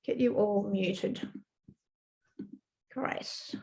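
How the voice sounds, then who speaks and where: A woman, croaky from a sinus problem, talks to a group over a video call. She speaks gently and reassuringly, with frequent ums and small restarts, into a computer mic. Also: medium pitch, fairly even intonation, extremely slow. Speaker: counsellor hosting an online group session.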